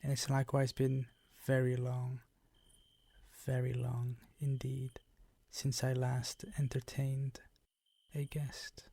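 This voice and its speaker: A man voicing a suave, predatory vampire count in an intimate ASMR roleplay, speaking formally with drawn-out, condescending, teasing courtesy. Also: mid-range voice, completely flat delivery, vocal fry, mellow, dry recording. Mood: flattering, teasing.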